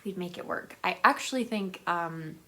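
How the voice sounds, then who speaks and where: A woman's voice: fairly low pitch, moderate pace, bright, forceful tone. A woman chatting casually and enthusiastically to viewers in a rambling, conversational book vlog. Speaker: book vlogger.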